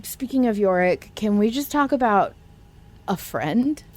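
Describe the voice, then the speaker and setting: A woman's voice: medium pitch, lively intonation, measured pace, slight background noise. A woman co-hosting a podcast, chatting in an informal, enthusiastic way and swearing freely. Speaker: podcast co-host. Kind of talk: casual podcast chat about an audio drama.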